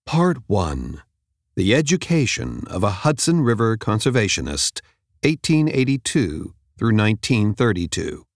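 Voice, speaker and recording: Man, scripted nonfiction narration, gravelly edge, measured and articulate, very dry close-miked recording. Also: fairly low pitch, very animated, no echo. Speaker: audiobook narrator. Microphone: close studio microphone.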